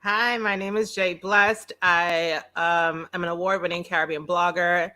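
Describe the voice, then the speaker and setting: A woman's voice: on the low side, measured pace. A Trinidadian woman, a food blogger on a panel, chatting playfully and slipping into dialect. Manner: animated, playful, drops into dialect. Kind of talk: casual video call panel chat.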